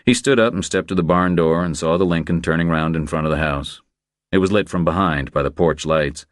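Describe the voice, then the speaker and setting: A man's voice: deep voice, dry recording, studio quality. A man reading scripted crime thriller narration as an audiobook narrator.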